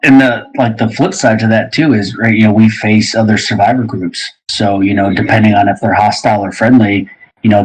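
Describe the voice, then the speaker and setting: A man with a husky voice talking casually and chattily as a game player on a podcast. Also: fairly low pitch, rough recording.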